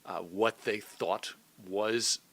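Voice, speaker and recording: A man's voice: on the low side, fairly even intonation, extremely slow, gravelly, fairly bright tone. A middle-aged man with an American accent, talking reflectively and articulately as a guest in a conversational interview. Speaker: interview guest, radio host and editor.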